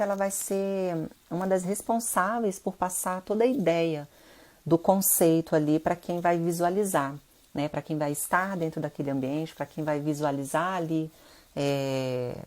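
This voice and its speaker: Low-voiced, stuffy-nosed Brazilian woman. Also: darkish tone. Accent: Brazilian Portuguese. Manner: chatty, addresses viewers directly. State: nasal from rhinitis. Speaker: interior designer hosting a live stream. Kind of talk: casual live-stream talk.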